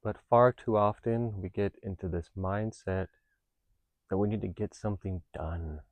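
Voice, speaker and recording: A man leading a guided meditation, giving gentle instructions in a calm, unhurried, reassuring manner. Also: on the low side, no echo, narrow, tinny audio. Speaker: meditation teacher.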